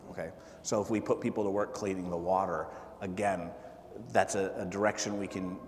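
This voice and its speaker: A man speaking as a political candidate at a town hall, halting and full of fillers and restarts. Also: sits low. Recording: echoey hall with faint noise.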